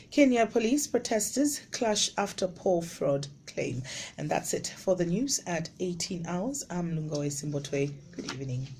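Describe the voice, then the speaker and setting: A woman reads the radio news in a clear, measured broadcast manner. Her voice sits a little low and sounds mellow and warm. A faint hiss runs under the studio recording. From Zambia. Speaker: radio newsreader. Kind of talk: scripted news reading.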